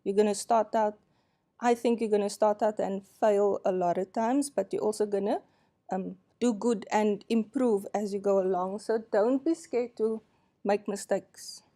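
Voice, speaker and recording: Slow-spoken woman lecturer. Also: medium pitch, fairly even intonation, warm tone. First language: Afrikaans. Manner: unhurried, candid, self-deprecating, laughs often. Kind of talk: presentation to colleagues.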